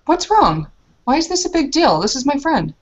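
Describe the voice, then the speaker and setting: A woman playing an anxious, earnest young woman in a tabletop game, halting and full of questions. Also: sits high, big pitch swings, dull audio, voice pushed up. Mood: puzzled, concerned.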